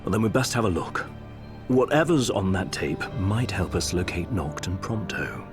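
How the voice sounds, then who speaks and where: Low, refined, careful adviser. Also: male voice, lively intonation, moderate pace. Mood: wary. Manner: precise, formal wording. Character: refined, cautious, analytical young male royal adviser. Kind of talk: video game cutscene acting. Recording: music over the voice.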